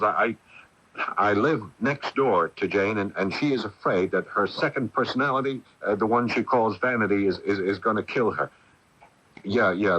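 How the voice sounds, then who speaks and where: Anxious husky-voiced sculptor, dull radio tape. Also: male voice, lowish voice, pushed and bright. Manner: agitated, stammering, halting. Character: anxious, lovestruck bachelor sculptor pleading for help. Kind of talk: radio drama acting.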